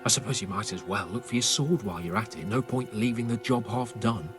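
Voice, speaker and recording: An actor playing a grumbling, sarcastic nobleman on a raid in a medieval video game, exasperated and quick to swear. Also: on the low side, over music, close to an everyday voice. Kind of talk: dramatic video game cutscene acting.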